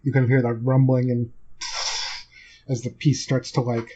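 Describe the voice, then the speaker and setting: Husky-voiced man running a tabletop game. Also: mid-range voice, level tone, moderate pace, dark tone, muffled recording. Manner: conversational, hedging with kind of. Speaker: game master. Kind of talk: improvised tabletop game narration.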